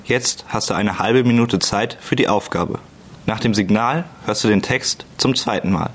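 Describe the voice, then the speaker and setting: A man reading calm, measured listening-exam instructions. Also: fairly low pitch. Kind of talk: scripted instructions.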